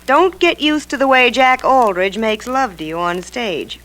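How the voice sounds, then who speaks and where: An actress playing a self-possessed, wisecracking stage star in a 1940s radio drama, brassy and wry, on a noisy old recording. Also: female voice, on the high side, lively intonation, a little slow, a bit bright. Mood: possessive, cutting.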